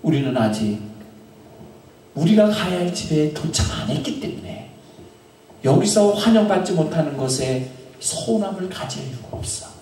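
A middle-aged male pastor tells a story during a sermon in a small chapel, speaking into a handheld mic, with long pauses and phrases that trail off. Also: fairly high pitch, animated, dark tone. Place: small chapel in front of an altar. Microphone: handheld microphone. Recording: very echoey room, from a distance.

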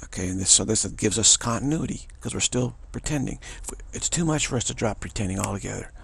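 A man with a deep voice giving a rambling spiritual monologue, often repeating words and chuckling. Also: animated, fast talker, creaky, fairly bright tone, husky.

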